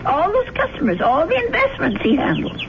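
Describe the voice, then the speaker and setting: A woman's voice: high voice, huge pitch swings, fairly quick, exaggerated voice. An older woman playing a fussy, stubborn but kindly old landlady in a radio drama. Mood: insistent. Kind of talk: 1970s radio drama acting. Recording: noisy, muffled old radio broadcast.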